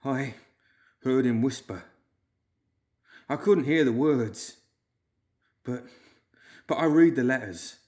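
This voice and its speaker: A man putting on a jittery male clerk who whimpers his words out in a raised, strained voice, louder than the storyteller's own telling. He sounds shaken and frightened in a storybook reading. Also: mid-range voice, slow, pauses often, close to an everyday voice. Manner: whimpering, wailing.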